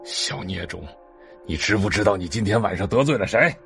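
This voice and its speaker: A gruff, contemptuous middle-aged patriarch from an audio drama. His voice is very deep and domineering, with faint music underneath. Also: expressive, a little fast, a bit bright. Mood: enraged.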